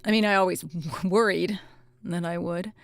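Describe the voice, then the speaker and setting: A woman's voice: fairly low pitch, steady tone, moderate pace, creaky, no echo. An actress playing a troubled, self-doubting middle-aged former policewoman, earnest and hesitant, in naturalistic audio drama dialogue. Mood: awkward, apologetic. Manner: hesitant, stammering, apologetic.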